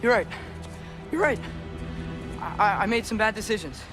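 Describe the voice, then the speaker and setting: A man's voice: high voice, lively intonation, normal speed. A young actor playing an earnest, anxious teenage boy hero in a video game, hesitant and casual in phrasing, with music behind. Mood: earnest, urgent. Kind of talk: dramatic video game acting.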